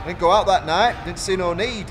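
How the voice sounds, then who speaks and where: A man voicing a gruff, self-important, defensive foundry foreman with rustic, blustering speech in a fantasy audio drama. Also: high voice, brisk, soft background music, pitched above the performer's own voice, lightly acted. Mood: evasive, scornful. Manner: rustic dialect grammar, blustering, self-justifying.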